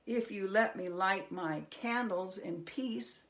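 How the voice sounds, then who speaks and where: A woman voicing a brave, clever man in a children's storybook, with a bold, deliberate, challenging manner. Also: mid-range voice, fairly bright tone. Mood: calm, challenging. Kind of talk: acted children's storybook reading.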